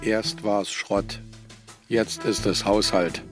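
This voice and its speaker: Slow, wry grumbling commentator. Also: male voice, lowish voice, steady tone, music in the background. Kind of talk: German children's radio play acting.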